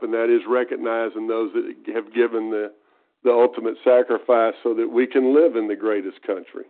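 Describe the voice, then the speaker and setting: Earnest East Texas man on a phone line. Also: medium pitch. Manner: folksy, earnest, restarts phrases. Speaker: guest speaker. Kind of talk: conference call talk. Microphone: telephone.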